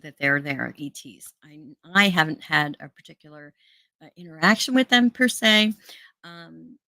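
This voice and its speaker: An American woman hosts an online show in a low, close voice with a dry sound. She talks earnestly and casually to her viewers, with plenty of fillers and restarts along the way. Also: lively intonation, normal speed, sharp tone, no room sound. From United States. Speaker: host.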